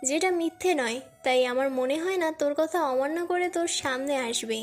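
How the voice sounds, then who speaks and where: A woman's voice: sits high, brisk, natural voice. A woman playing a caring young college friend, earnest and reproachful, in an audio love-story drama. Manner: earnest, admonishing.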